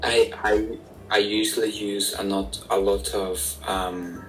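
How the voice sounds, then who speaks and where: A man with a softened Northern Irish accent talks in a fairly low voice over a video call. He is relaxed and easygoing, and patiently explains things. Faint music sits under his voice. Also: takes it slowly, roomy sound, poor audio. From Northern Ireland. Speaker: stranger on a chat site. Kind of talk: casual video chat. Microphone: online video call.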